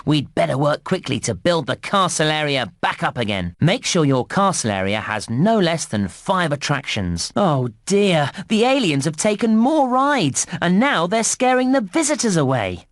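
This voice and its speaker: A man playing an upbeat, encouraging theme park guide, narrating a children's video game in an animated, instructive way. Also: on the high side, moderate pace, hardly any pauses, no room sound, clean studio sound. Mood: brisk, urgent, then dismayed. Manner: animated, exclamatory, instructive children's-entertainer delivery.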